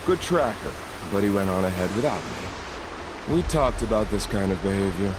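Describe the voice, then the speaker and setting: An actor playing an eerie, bloodthirsty killer in a video game, with silky, theatrical menace. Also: male voice, fairly low pitch, noisy background, lightly acted. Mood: dark amusement. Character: eerie, bloodthirsty male killer who relishes hunting bandits. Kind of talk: video game dialogue acting. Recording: steady rushing outdoor ambience behind.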